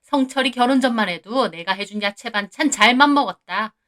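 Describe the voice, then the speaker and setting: Woman doing a shrill raging mother-in-law. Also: a little fast, natural voice. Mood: scolding. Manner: shouting, berating, coarse insults. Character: furious scolding older mother-in-law.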